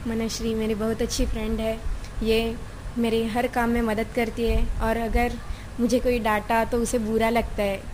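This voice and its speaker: A woman's voice: fairly high pitch, level tone, normal speed, a little mellow. A young woman, a student, talking casually about her friend in an interview. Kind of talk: casual chat. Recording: noisy location with ambient sound.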